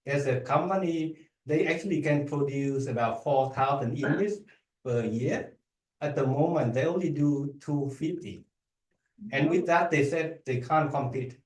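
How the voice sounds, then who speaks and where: Echoey, halting Vietnamese-accented man. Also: on the high side, darkish tone. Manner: hesitant, halting delivery with repeated words. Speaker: academic researcher, guest speaker. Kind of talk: conference talk and audience Q&A. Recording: echoey room, distant microphone.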